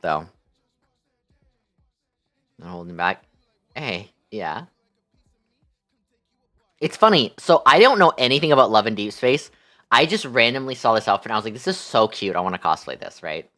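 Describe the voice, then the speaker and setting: A man's voice: fairly low pitch, bright, forceful tone. A young man livestreaming, chatting casually with his viewers in a playful, affectionate manner.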